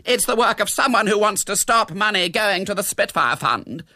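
A man's voice: high voice, animated, moderate pace, bright, forceful tone, dry recording, clean studio sound, pitched above the performer's own voice, exaggerated voice. A man voicing an indignant, pompous middle-class lady in a storybook, melodramatic and huffy. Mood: indignant, affronted. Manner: dramatic, stammering when affronted.

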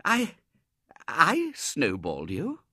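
A man's voice: fairly high pitch, huge pitch swings, moderate pace, sharp tone, no echo, studio quality, pitched above the performer's own voice, full character voice. A man doing a stammering, flustered young man. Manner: stammering, earnest.

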